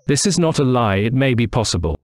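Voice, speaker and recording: A man's voice: medium pitch, sing-song, a little fast, natural voice. A man playing male characters in a children's cartoon folk tale, speaking scripted lines emphatically. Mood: declamatory.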